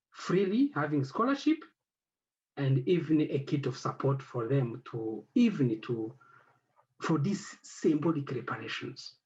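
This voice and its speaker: A man whose first language is French speaks on an academic panel. His voice sits a little high and moves a lot in pitch. He pauses and searches for words, and the recording sounds dull and lo-fi. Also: a little mellow. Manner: halting, searching for words with many fillers. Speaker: head of an institute.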